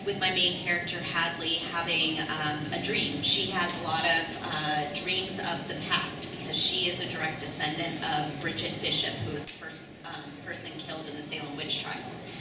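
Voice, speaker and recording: A woman author speaking casually on a convention panel, loud, with many ums and likes. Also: flat delivery, normal speed, few pauses. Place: convention hall panel room. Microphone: panel mic heard from far off. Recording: booming echoey hall, distant, noisy.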